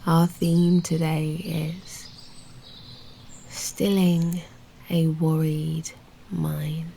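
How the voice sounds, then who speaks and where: Very slow, hushed British woman guiding meditation. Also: deep voice, level tone, slight background noise. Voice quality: soft, breathy, often near whisper. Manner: soothing, unhurried, with long pauses. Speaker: meditation guide and host. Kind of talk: guided meditation narration.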